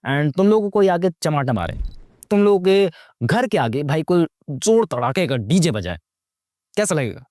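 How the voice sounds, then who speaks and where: Animated, high-pitched young man, sarcastic. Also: moderate pace, no room sound, studio quality. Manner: slangy, mocking, rhetorical questions, Hindi mixed with English. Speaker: YouTube movie reviewer. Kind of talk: casual, sarcastic movie commentary.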